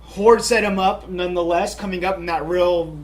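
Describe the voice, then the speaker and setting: A man's voice: sits high, fairly even intonation, normal speed. A man co-hosting a casual soccer talk show, upbeat and chatty with lots of fillers. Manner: upbeat, chatty, many "you know" fillers. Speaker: podcast co-host. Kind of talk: casual sports chat.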